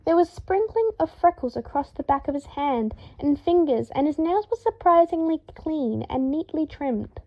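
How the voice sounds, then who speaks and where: A woman reading fiction aloud as an expressive audiobook narrator, in a slightly dull lo-fi recording. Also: sits high, moderate pace, hardly any pauses. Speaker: fan fiction audiobook reader.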